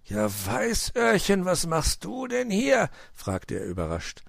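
A man's voice: fairly high pitch, sing-song, normal speed, gravelly, dry recording, clean studio sound, voice pushed up. A man voicing a sly, work-shy uncle fox who talks glibly and patronisingly in a children's story. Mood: flustered, coaxing. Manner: glib, exclaiming, patronising.

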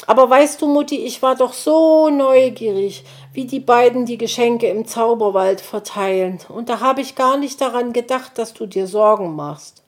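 Woman doing an excited little hedgehog boy. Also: a little high, normal speed, few pauses, pitched above the performer's own voice, lightly acted. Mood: apologetic. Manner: eager, breathless chatter.

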